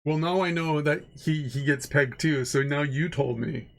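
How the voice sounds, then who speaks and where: A man's voice: a little high, moderate pace, low-quality recording. A man with a stuffed-up, nasal voice chatting casually and rambling while he draws on a livestream, swearing now and then. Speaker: art streamer.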